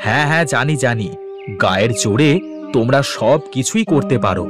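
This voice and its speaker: A man's voice: mid-range voice, expressive, moderate pace, lightly acted. Man acting proud, aggrieved poor farmer over music. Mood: indignant. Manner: indignant, emphatic, repeats phrases. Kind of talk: animated cartoon story dialogue. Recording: music behind the voice.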